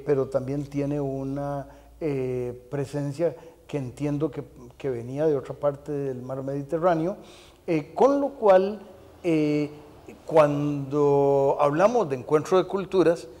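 An older man in his sixties hosts a conversational interview in a reverberant room. His delivery is very slow and halting, with long pauses to search for words, and his voice sits a little high. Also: mellow. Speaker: interview show host. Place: brick-walled indoor room, television set. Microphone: lapel mic.

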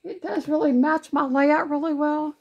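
A woman's voice: sits high, fairly even intonation, moderate pace, lo-fi sound. A woman hosting a crafting channel, chatty and laughing often, with her voice worn from a long day. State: losing her voice. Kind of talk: casual vlog talk. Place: home craft room.

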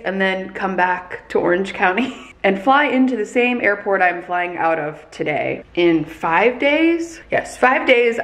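A woman's voice: medium pitch, a bit bright. A young woman in her twenties talking casually to a handheld camera, chatty and upbeat with many "like"s. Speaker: vlogger. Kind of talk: casual vlog chat to camera. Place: kitchen, car and closet indoors. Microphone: handheld camera at arm's length.

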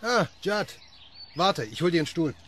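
A man's voice: fairly high pitch, very animated, moderate pace, fairly bright tone, a little hiss or hum. An actor playing an easygoing doctor and father in a radio play, casual and wry. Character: easygoing adult male doctor and family father. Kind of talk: German radio play acting.

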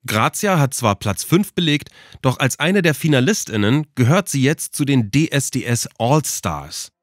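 A man's voice: mid-range voice, sing-song, moderate pace, no echo, studio quality. A man reading scripted narration as the host of a documentary podcast.

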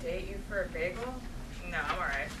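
A woman's voice: fairly low pitch, brisk, natural voice. A woman playing sassy, sarcastic young coffee-shop characters in a sitcom table read. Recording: echoey stage, distant mic, faint noise.

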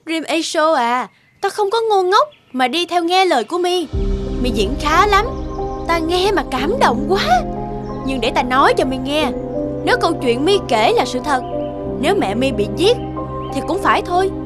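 A woman voicing a haughty, spiteful young sea goddess in an animated fairy tale, with a scornful, mocking manner. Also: very high-pitched, normal speed, loud music behind the voice.

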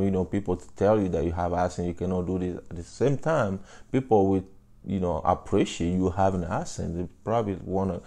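A man's voice: low voice, creaky, warm tone. A man in his thirties from Africa, whose first languages are a local African language and French, giving earnest, encouraging advice to camera in a vlog, often saying "you know", recorded indoors on a lapel mic. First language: a local African language, then French. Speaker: vlogger. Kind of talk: casual talk to camera. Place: small indoor room, seated on a couch.

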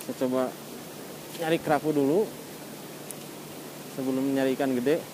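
A man's voice: medium pitch, fairly even intonation, normal speed, noisy background. A young man chatting casually as a fishing vlogger in short colloquial exclamations, recorded outdoors on a seashore. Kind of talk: casual vlog chat. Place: open rocky seashore, outdoors. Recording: loud music over outdoor action-camera voice. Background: loud music.

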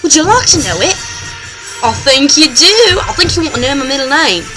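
A woman playing a boastful, goofy British male show host with an exaggerated British accent, presenting a comic vlog in a hammy, excitable, rambling way. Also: high-pitched, normal speed, over music. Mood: excitable, silly.

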